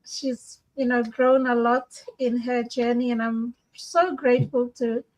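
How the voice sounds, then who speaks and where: A woman from answers interview questions over a video call. Her voice is quite high. She talks warmly and gratefully, with many small fillers. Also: steady tone, unhurried, rough recording. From Papua New Guinea. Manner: warm and grateful, with frequent fillers. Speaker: guest, business owner.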